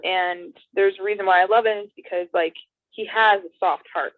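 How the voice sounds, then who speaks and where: A woman's voice: medium pitch, fairly even intonation, moderate pace, no room sound. A woman hosting a casual video chat, talking with enthusiasm. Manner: enthusiastic, chatty. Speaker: podcast host. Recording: tinny phone-like dry line.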